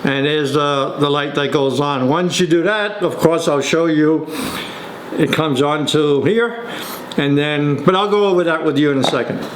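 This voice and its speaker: A man's voice: a little high, normal speed. A man giving a casual, step-by-step product walkthrough in an instructional way. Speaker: product demonstrator. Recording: echoey room with faint noise.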